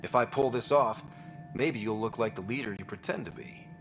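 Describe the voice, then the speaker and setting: A man's voice: medium pitch, moderate pace, fairly bright tone, soft background music, close to an everyday voice. Blunt, defiant male explorer, played by an actor. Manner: blunt, casual. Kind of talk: video game cutscene acting.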